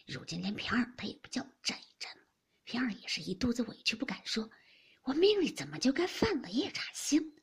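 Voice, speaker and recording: A woman's voice: on the high side, very animated, a little fast, husky, in a whisper, full character voice. A woman voicing a hot-tempered, sulky young husband in a storybook reading, grumbling and blustering. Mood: hushed, sulky. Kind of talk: dramatised audiobook of classic novel.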